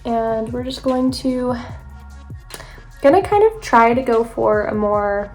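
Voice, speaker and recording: A woman's voice: a little high, animated, takes it slowly, darkish tone, soft background music. A young woman vlogger chatting casually through a makeup tutorial, sounding a little congested from allergies. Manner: chatty, rambling, self-correcting. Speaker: beauty vlogger.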